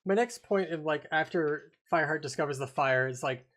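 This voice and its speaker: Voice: mid-range voice, fairly quick, a bit bright. Casual, sweary book club host. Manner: informal, sweary. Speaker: podcast host.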